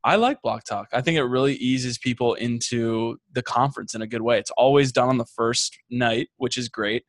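A man's voice: mid-range voice, normal speed, dry recording. Easygoing male podcast co-host. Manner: easygoing, laid-back and chuckling. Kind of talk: casual podcast banter.

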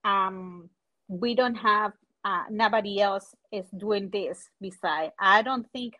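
A woman's voice: mid-range voice, bright, forceful tone. A woman in her forties from Chile with a Chilean Spanish accent, speaking English as a second language and searching for words as an interview guest. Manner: halting, searching for words, frequent fillers. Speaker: guest entrepreneur. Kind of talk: casual interview.

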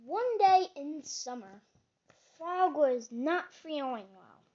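A child reads a children's story aloud in a halting way, stumbling now and then. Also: high-pitched, lively intonation, darkish tone. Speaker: storybook reader. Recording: slightly dull, lo-fi sound.

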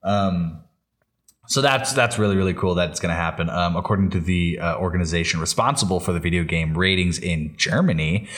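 A man's voice: deep voice, fairly quick, fairly bright tone. A man co-hosting a podcast in casual chat, talking in a rambling, hesitant way. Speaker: podcast co-host. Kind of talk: casual chat about Star Wars games and shows.

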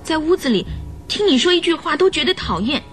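A woman's voice: high voice, big pitch swings, brisk, soft background music. An actress playing a stubborn, defensive young woman suspected of murder in a radio drama, guarded and dramatic. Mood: bitter, recounting. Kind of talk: Chinese radio drama acting.

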